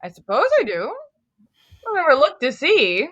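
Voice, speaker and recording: Cowardly lion, female actor: high, very animated delivery, plaintive and sorry for himself, children's fantasy read. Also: moderate pace, lo-fi sound. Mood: miserable. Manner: plaintive, self-pitying. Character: cowardly, melancholy lion lamenting his lack of courage. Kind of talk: live table read of a children's fantasy story.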